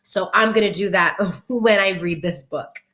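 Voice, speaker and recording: A woman speaking as an elementary teacher, expressive and encouraging, in simple words for children and their families. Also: mid-range voice, unhurried, a little hiss or hum. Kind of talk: children's read-aloud with casual talk to families.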